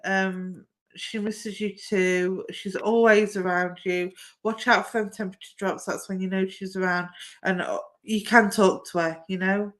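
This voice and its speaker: A woman's voice: mid-range voice, steady tone, a little slow, fairly bright tone. Chatty woman giving live readings. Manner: chatty and affectionate, says "my darling" often. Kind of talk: live-stream card reading to viewers.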